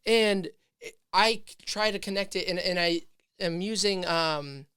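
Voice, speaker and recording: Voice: mid-range voice, measured pace, pushed and bright, clear, resonant tone, dry recording, studio quality. A podcast host thinking aloud in casual chat, rambling with many fillers and restarts.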